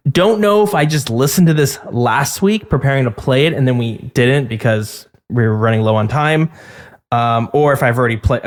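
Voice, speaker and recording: A man hosting a podcast in casual chat, his voice a bit hoarse from getting sick, rambling with many fillers and restarts. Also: medium pitch. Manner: rambling, filler-heavy, self-interrupting. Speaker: podcast host.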